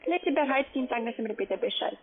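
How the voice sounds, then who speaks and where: Female call agent, non-native, polite but halting, rough-edged voice through a narrow phone line. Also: fairly high pitch, expressive. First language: not German. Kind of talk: instructing a customer by phone.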